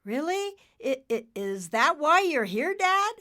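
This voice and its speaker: A woman voicing an eager young male storm cloud who gets excited, stammers and shouts. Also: sits high, slow pace, pitched above the performer's own voice, heavily acted.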